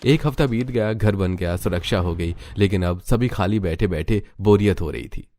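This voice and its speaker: Low-pitched man narrating a cartoon story. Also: normal speed, dark tone. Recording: music behind the narration.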